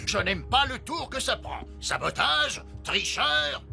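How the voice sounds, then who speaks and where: An actor playing a jovial, evasive sports federation president in a French cartoon dub, with an unctuous, blustering manner. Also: male voice, very high voice, big pitch swings, slow pace, gravelly, bright, forceful tone, faint music behind, full character voice. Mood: flustered, authoritative.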